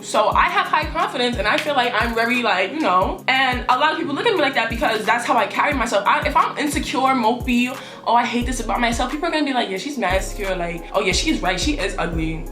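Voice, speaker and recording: A young woman with a New York accent, chatting casually to camera in a blunt, slangy, animated way, with a deep-sounding voice. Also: echoey room. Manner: animated, talks with her hands, blunt slangy delivery. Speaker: YouTuber.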